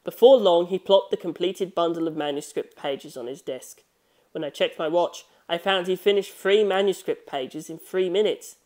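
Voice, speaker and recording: A woman's voice: fairly low pitch, moderate pace, darkish tone. A woman reading scripted novel narration aloud in the manner of an audiobook. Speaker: audiobook reader.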